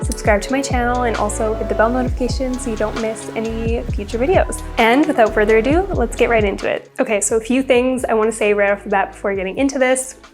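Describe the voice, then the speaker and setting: Congested young female vlogger, rambling casual chat, slightly high pitch, soft music under. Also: quick pace, hardly any pauses. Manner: chatty, upbeat, rambling product talk. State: congested from a cold. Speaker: beauty vlogger. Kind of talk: casual get-ready-with-me chat.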